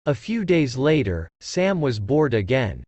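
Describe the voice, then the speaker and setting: A man's voice: medium pitch, sing-song, unhurried, a little mellow, slightly rough, no echo. A man narrating a scripted story for children.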